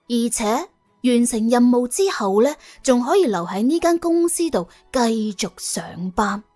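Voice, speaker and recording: A woman with a Cantonese accent narrating a comic crime story in a colloquial, wry, expressive way. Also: on the high side. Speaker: audiobook narrator.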